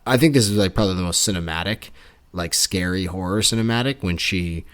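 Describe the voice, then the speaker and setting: A man's voice: low voice, a little fast, a bit bright. A man co-hosting a casual book club podcast, laid-back and jokey, slipping into folksy voices now and then. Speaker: podcast co-host.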